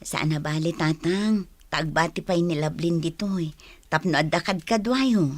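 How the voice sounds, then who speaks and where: A woman's voice: low-pitched, measured pace, dry recording. A woman playing a stern, domineering mother of many children in a radio drama, with a commanding manner. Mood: matter-of-fact. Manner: commanding, scolding.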